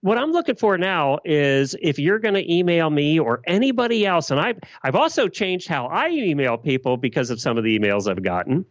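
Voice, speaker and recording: A man's voice: on the high side, big pitch swings, a little fast, hardly any pauses, no room sound, dull audio. A man giving blunt, emphatic answers in a conversational podcast interview. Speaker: podcast guest.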